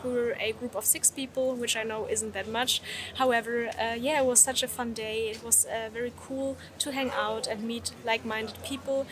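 A woman in her thirties from Germany, with a German accent, talking in a casual vlog style as a street photographer. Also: a little high. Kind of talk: casual vlog talk and narration.

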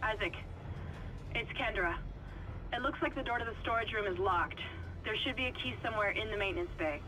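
A woman acting a brisk, businesslike spaceship technician in a video game. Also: mid-range voice, noisy background, lightly acted.

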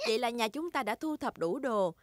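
A woman's voice: fairly high pitch, lively intonation, brisk, very noisy, close to an everyday voice. A woman voicing a practical, patient mother pig in a children's cartoon.

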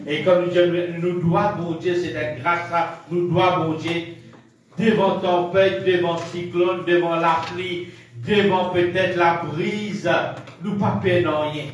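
High-voiced Mauritian preacher in an echoey room. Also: male voice, flat delivery, slow pace, slight background noise. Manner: rhythmic preaching in short repeated phrases, with gestures. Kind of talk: sermon. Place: small room with a draped pulpit, indoors. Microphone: camera at a distance across the room. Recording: echoey room picked up from far off.

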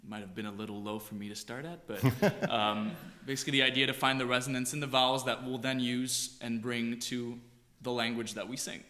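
A young man, an opera singer, casually explaining his vocal warm-ups on a concert hall stage. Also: medium pitch, flat delivery, fairly quick. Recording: long concert hall reverb.

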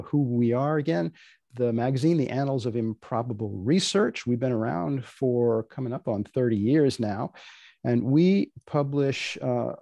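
An older man hosting an informal event over a video call, introducing things in a deliberate, dry manner. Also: mid-range voice, gravelly, warm tone, no room sound. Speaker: host introducing readers. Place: indoor room on video call.

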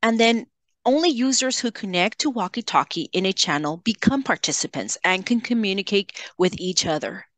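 A woman software trainer giving an instructional screen-share tutorial, explaining in a measured way and addressing learners directly. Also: fairly low pitch, no echo.

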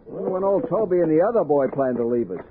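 An actor with an American accent playing a steady, decisive expedition captain in a 1960s radio drama. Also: male voice, fairly high pitch, fairly quick, natural voice. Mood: concerned, decisive. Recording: noisy, narrow old radio recording.

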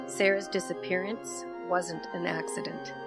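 An actress playing an inquisitive young investigator who interviews and narrates in an audio drama. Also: female voice, lowish voice, level tone, unhurried, over loud music. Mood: grave.

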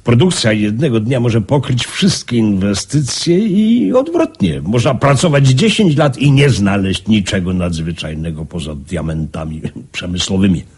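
An actor in a radio play playing an anxious, wealthy businessman, hesitant and pleading, with a husky voice. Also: lowish voice, sing-song, measured pace, few pauses, darkish tone, exaggerated voice. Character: anxious wealthy diamond-mine businessman bargaining for a talisman. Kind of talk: radio drama acting.

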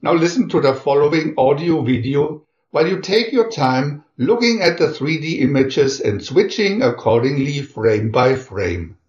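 A man presents in a scripted announcer style, speaking very slowly with lively intonation. The recording is echoey and a bit dull. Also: on the high side. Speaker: presenter announcing reel and picture numbers.

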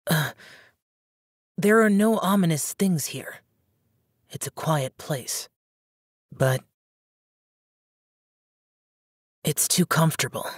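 Game role: aloof young male immortal, low-pitched and husky, terse and solemn. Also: lively intonation, slow, long pauses, no room sound, clean studio sound, exaggerated voice. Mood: guarded. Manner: terse, reserved, solemn, formal phrasing. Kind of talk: English video game character dialogue.

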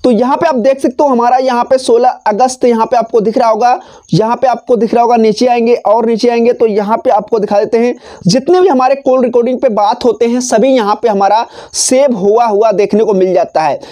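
A young man talks through a phone tutorial step by step. His voice is soft-edged and mellow. He speaks off the cuff, repeating his instructional phrases as he goes, close on a lapel mic. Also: extremely high pitch, fairly even intonation, a little fast. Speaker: tech tutorial presenter. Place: small decorated indoor room. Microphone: clip-on lapel mic.

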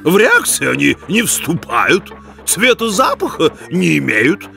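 A crusty old cartoon animal, opinionated and folksy. The voice is creaky and pitched high, swinging widely, with music underneath. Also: male voice, huge pitch swings, a little slow, pushed and bright, full character voice. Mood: mocking. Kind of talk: animated cartoon character acting.

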